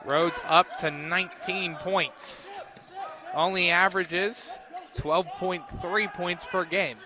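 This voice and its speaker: A man's voice: high voice, fairly even intonation, slow, a crowd in the background. A man calling a live basketball game on radio as the play-by-play announcer.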